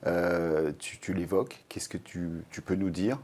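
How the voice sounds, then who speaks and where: A man's voice: deep voice, unhurried, darkish tone. A man hosting a TV interview, asking questions in a friendly, chatty way with many fillers. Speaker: TV host. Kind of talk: conversational interview.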